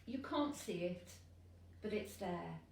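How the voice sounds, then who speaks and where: A woman priest who lives with hearing loss, speaking earnestly and in measured phrases in an interview. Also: fairly low pitch, animated, creaky, darkish tone, echoey room, recorded from a distance, slight background noise.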